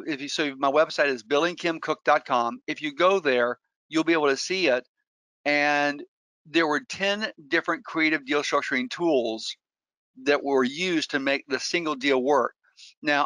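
A man's voice: a little high, bright, forceful tone, dry recording. A middle-aged man, a real estate investor, giving an earnest webinar talk and telling stories with frequent restarts. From Colombia. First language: Spanish. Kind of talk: webinar presentation.

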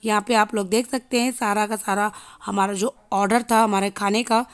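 Hoarse woman vlogging to her viewers. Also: mid-range voice, fairly even intonation, moderate pace, no echo. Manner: chatty, addressing viewers directly. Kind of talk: casual home vlog narration. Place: home kitchen and living room. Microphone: handheld phone selfie.

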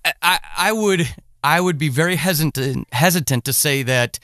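Male podcast interviewer, mid-pitched, clean smooth tone, close dry sound, conversational. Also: normal speed, bright, forceful tone, no room sound. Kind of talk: casual interview chat.

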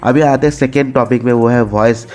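A man's voice: mid-range voice, fairly even intonation, brisk, darkish tone. A man with an Indian accent giving a casual, informal gaming voice-over as host, mixing in English words and repeating himself. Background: background music.

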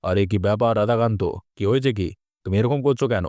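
A man's voice: on the low side, quick pace, darkish tone, dry recording, clean studio sound, lightly acted. A man playing an authoritative village landlord in a cartoon, concerned and questioning. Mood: alarmed, questioning. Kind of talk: cartoon dialogue acting.

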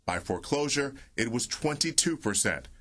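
A man narrating a TV news report in a steady, measured way. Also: mid-range voice.

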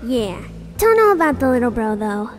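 A woman's voice: a little high, big pitch swings, moderate pace. A woman voicing a laid-back male crab with a slangy, chilled-out surfer bro manner in a cartoon fan dub. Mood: casual. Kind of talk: animated comic fan dub. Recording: music behind.

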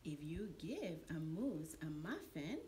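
A middle-aged woman reading a picture book aloud to young children in an expressive, deliberate storytelling manner, like a preschool teacher. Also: deep voice. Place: indoor classroom. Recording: noticeable steady room background noise.

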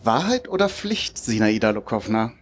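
A mysterious Russian man played by an actor with a husky voice and very animated intonation. He is a teasing old friend in a live audio drama, read on stage. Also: lowish voice, moderate pace.

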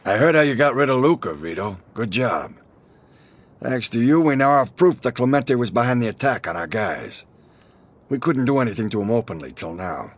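Game mob boss, older male: a calm, commanding figure, husky mid-pitch voice. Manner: authoritative, measured. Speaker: crime boss.

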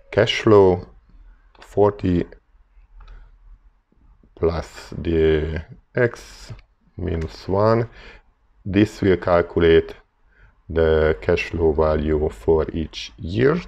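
A man with a Hungarian accent, a native Hungarian speaker, walking through a calculator tutorial at his desk in careful, halting English full of fillers. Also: low voice, darkish tone, lo-fi sound. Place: desk indoors, filming paper and calculators.

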